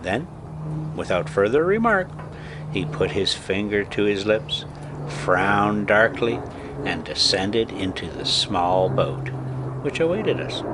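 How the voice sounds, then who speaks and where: An older man reading a classic adventure story aloud in a dramatic, deliberate way with emphatic pauses and casual delivery of asides. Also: fairly low pitch, very animated, noisy background.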